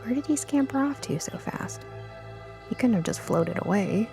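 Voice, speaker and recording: Creaky-voiced woman narrating a story. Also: fairly high pitch, animated, normal speed, over music. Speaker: audiobook narrator. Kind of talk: scripted first-person story narration.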